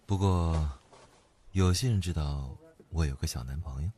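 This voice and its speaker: An actor playing an indulgent, unflappable company boss and older boyfriend, speaking calmly and dotingly in an audio drama. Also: male voice, rumbling low, normal speed, slightly rough. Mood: reassuring. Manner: calm, gently doting. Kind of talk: audio drama acting, intimate low-key talk. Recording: background music under the voice.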